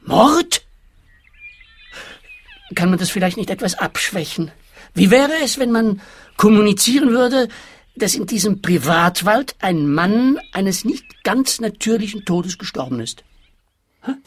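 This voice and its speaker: An evasive small businessman in a radio play. The voice is husky and swings widely in pitch as he whines and wheedles. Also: lively intonation, unhurried, pauses often, exaggerated voice. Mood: whining, pleading. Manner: whining, wheedling. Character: whiny, evasive male small-time pilgrimage entrepreneur.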